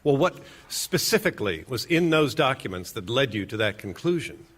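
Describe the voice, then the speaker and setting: A man's voice: medium pitch, very animated, moderate pace, fairly bright tone. A government attorney in a radio drama. His mid-range voice moves up and down a lot, formal and assertive in the courtroom manner. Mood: formal, leading. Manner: formal, emphatic courtroom delivery. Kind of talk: radio play acting.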